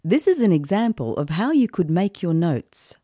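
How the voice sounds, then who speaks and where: A woman narrating an instructional recording in a measured, explanatory way. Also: on the low side, very animated, warm tone, no echo, studio quality.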